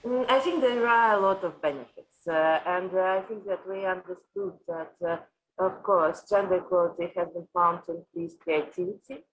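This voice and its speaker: A woman from Russia with a Russian accent, answering interview questions as a company executive, haltingly and with frequent filled pauses. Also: lowish voice, husky, muffled recording. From Moscow, Russia. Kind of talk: interview answers on an online panel.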